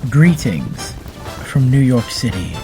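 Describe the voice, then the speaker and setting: An actor playing a defiant young android superhero from Brooklyn in an audio drama, with dramatic tough talk. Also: male voice, medium pitch, sing-song, slow. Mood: cool menace. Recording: loud music behind.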